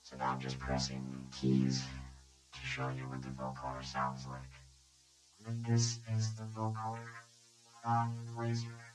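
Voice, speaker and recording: A man presenting a casual software demonstration with his voice run through a synthetic, robotic vocoder. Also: a bass voice, fairly even intonation, unhurried, pauses often, music in the background, rough recording. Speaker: tutorial presenter. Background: electronic synthesizer tones.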